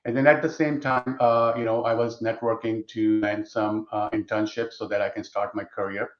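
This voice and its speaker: A man's voice: fairly low pitch, little pitch movement, normal speed. A man in his fifties from Pakistan, a guest speaking on a video call, hesitant with many fillers. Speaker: guest, IT executive. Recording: dull roomy video call audio.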